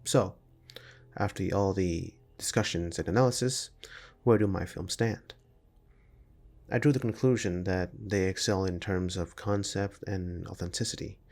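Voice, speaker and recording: A man's voice: sits low, a little slow, darkish tone, soft background music. A man reading a scripted analytical video essay in a formal, academic manner. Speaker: video essay narrator and amateur filmmaker.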